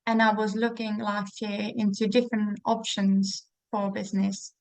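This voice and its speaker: A woman's voice: medium pitch, flat delivery, moderate pace, gravelly, no echo, poor audio. This is a woman with a Czech accent, hosting an informal interview. Her talk is loose and chatty, full of small fillers and restarts. The sound is dry and close, with no room around it. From Czech Republic. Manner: chatty with frequent fillers and restarts, laughing now and then. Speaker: host. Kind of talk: casual interview chat.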